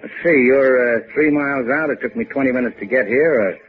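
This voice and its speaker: An American actor playing a cool, wisecracking adventurer in a 1950s radio drama, with a sardonic, slangy delivery. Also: male voice, mid-range voice, a little fast, close to an everyday voice. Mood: casual scheming. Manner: sardonic, casual drawl with tough-guy slang. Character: wry, cool, wisecracking boat-owning hotel keeper adventurer. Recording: muffled, phone-like old radio recording.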